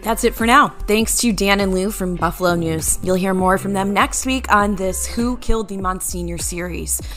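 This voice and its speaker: An American woman speaks as a podcast host in a conversational interviewing style. Her voice sits at a middling pitch, with some music behind it. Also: moderate pace, a bit bright.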